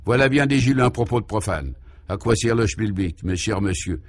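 A man's voice: fairly low pitch, fairly quick. A man voicing a pompous, exalted inventor who lectures grandiloquently in solemn nonsense jargon for a comic radio serial. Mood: exalted, grandiose. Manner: grandiloquent, emphatic, oratorical.

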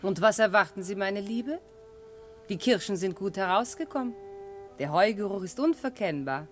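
Genteel, rapturous lady, radio drama, female performer. Middle register, formal phrasing, soft music underneath. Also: medium pitch, expressive, normal speed, lightly acted. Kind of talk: literary radio drama acting.

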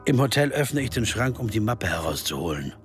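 A man's voice: lowish voice, very animated, a little fast. An actor playing an earnest American man who investigates a disappearance and narrates his search in a radio crime drama. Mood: reflective narration. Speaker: first-person narrator and lead character. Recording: music behind the voice.

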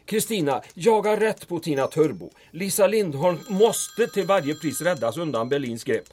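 A man's voice: a little high, animated, darkish tone, faint music behind, close to an everyday voice. En man som spelar en formell, allvarlig och upprörd svensk ämbetsman i ett ljuddrama. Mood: grave. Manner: formal, grave, indignant. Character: worried stiff Swedish official, male, dutiful. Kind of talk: audio drama acting.

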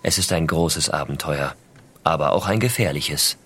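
A man's voice: a bass voice, moderate pace, a bit bright. An actor playing an American astronaut father who reads out his affectionate letters to his small son in an intimate storytelling way. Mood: proud, earnest. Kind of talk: dramatized reading of letters.

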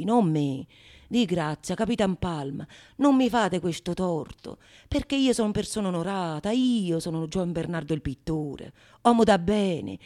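Actress as crafty painter in stage farce, man of honour, lowered voice, menacing, declamatory. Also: mellow, lightly acted. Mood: smooth protesting. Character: sly, bold male painter, righteously accusing impostor. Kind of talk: theatrical radio monologue of Renaissance comedy.

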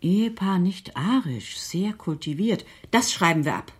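An actress playing a practical, strict but caring middle-aged foster mother in a German radio drama, brisk and admonishing. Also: lowish voice, expressive. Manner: brisk, matter-of-fact, admonishing.

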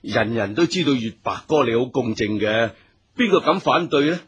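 Very raspy, fawning Cantonese henchman. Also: medium pitch, moderate pace. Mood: eager deference. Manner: flattering, deferential, agreeable. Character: obsequious male henchman, eager smooth-talking right-hand man. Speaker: radio drama actor. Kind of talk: Cantonese radio drama acting.

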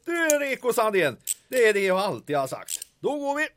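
Older male detective, acted by a man: lively, blustering, bumbling, coarse folksy manner, audio drama. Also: sits high, faint music behind. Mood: gleeful, proud. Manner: pompous, bumbling, coarse colloquial talk. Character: blustering, folksy grandfather moonlighting as hotel detective, arresting officer.

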